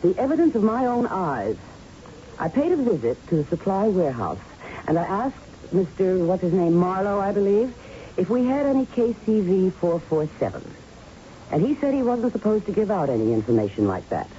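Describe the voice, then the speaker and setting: Woman acting an earnest, mellow-voiced young doctor. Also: mid-range voice, big pitch swings, moderate pace, close to an everyday voice. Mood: indignant, resolute. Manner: firm, formal, precise. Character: earnest, principled young female plant doctor. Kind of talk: radio drama acting. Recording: muffled, faintly noisy old radio recording.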